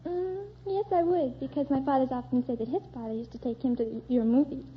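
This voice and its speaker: High-voiced American teenage girl on old radio. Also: steady tone, a little fast. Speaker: high school student contestant. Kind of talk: brief interview answers. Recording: muffled, faintly noisy vintage radio recording.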